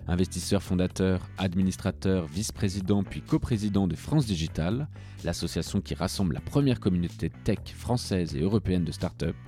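Brief: male podcast host, very low dark voice, faint music behind. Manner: smooth read intro, then hesitant questions with fillers. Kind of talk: scripted intro then interviewing.

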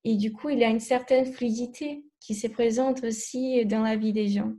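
A Brazilian woman speaking French with a Brazilian accent, a therapist guest in a podcast interview, talking hesitantly with many pauses and restarts. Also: on the high side, steady tone, warm tone. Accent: Brazilian Portuguese.

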